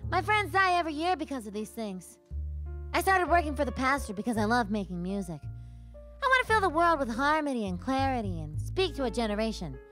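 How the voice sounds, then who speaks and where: Woman acting as streetwise nine-year-old boy, brash, high-pitched, animated, slapstick radio play. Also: fairly quick, soft background music. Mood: earnest. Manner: brash, slangy.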